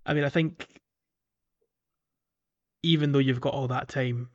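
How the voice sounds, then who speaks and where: Man, middle pitch, podcast co-host, informal gaming chat, easygoing. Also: steady tone, measured pace, lo-fi sound. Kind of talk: casual chat about a video game.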